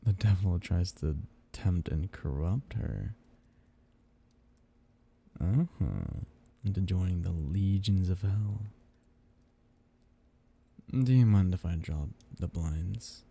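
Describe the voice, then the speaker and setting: Guy doing a seductive vampire captor, husky and gravelly, smug teasing asmr roleplay, condescendingly sweet. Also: deep voice, heavily acted. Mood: teasing, musing. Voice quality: dark, breathy, gravelly murmur. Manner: teasing, slow-savoring, condescendingly tender. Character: seductive, possessive male vampire captor, smugly amused. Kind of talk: intimate ASMR roleplay audio drama.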